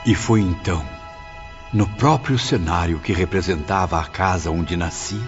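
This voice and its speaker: Male actor as memoir narrator, low pitch, solemn, literary, measured, faint music, dull recording. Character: reflective man narrating his afterlife memoir. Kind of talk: scripted first-person audiobook narration.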